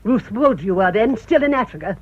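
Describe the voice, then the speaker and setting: Bitter, proud older English spinster. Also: female voice, mid-range voice, expressive, fairly quick, slightly rough. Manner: indignant, rhetorical, cutting. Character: bitter, proud spinster nursing old family grudges. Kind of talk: radio drama acting. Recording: muffled radio drama.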